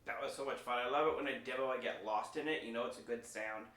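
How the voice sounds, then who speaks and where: A man with a middle-pitched voice hosts a relaxed demo in a roomy, reverberant space. His delivery is enthusiastic and playful, with an informal, folksy way of explaining things. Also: fast. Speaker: host of instrument demo. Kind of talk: casual presenting chat. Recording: roomy, echoing sound.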